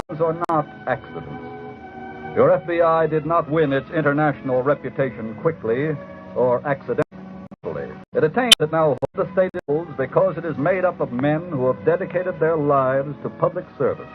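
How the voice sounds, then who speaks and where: A man playing a solemn American narrator of a 1950s radio crime drama, speaking in an emphatic, deliberate scripted style. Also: a little high, close to an everyday voice. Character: solemn, patriotic male crime-show narrator. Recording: tinny old radio broadcast, music behind.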